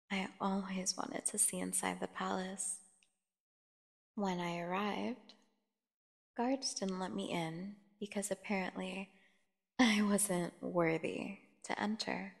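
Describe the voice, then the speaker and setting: A woman playing a seductive, dangerous captive vampire in an ASMR fantasy horror roleplay, teasing and theatrical, with a creaky voice, speaking straight to the listener. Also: mid-range voice, steady tone. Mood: bitter recollection. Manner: teasing, slow, theatrical, addressing the listener directly. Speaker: audio roleplay performer. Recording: long echoing reverb.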